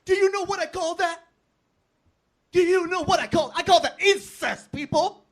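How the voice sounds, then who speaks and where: A man with an Armenian accent, a second-language English speaker, doing a manic, loud stand-up set at an open mic. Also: very high voice, normal speed. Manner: loud, manic, jagged delivery.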